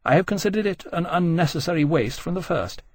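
A narrator puts on a haughty male admiral from a historical novel. He speaks briskly and formally with lifted pitch and husky tone, self-assured and condescending, recorded dry. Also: very animated, no echo, full character voice. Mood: easy hauteur.

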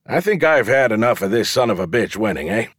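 A man voicing a brash, foul-mouthed male showman who loudly goads a crowd into wagers in an audiobook. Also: medium pitch, lively intonation, moderate pace, no room sound, studio quality, voice pushed up, close to an everyday voice. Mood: challenging. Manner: loud, goading, declamatory calling to a crowd. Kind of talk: audiobook character dialogue.

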